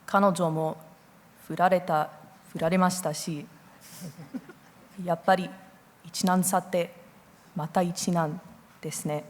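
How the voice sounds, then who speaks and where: Lowish-voiced young woman answering in a hall. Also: steady tone, normal speed, pauses often, a little mellow, a little hiss or hum. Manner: hesitant, correcting herself. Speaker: student contestant. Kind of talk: improvised quiz answer. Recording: echoey hall with long reverb.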